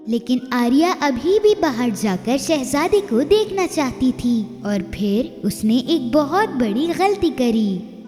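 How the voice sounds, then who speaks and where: A woman storyteller narrates a children's fairy tale in a high, soft-toned voice with lively swings in pitch. She takes her time. The recording has long reverb and faint music behind it.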